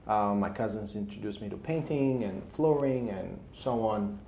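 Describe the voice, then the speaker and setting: A man's voice: fairly low pitch, normal speed, gravelly, warm tone. A man, telling his story casually as an interview guest. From Albania. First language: Albanian. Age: 30s. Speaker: interview guest, real estate investor. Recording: roomy echo, faint background noise.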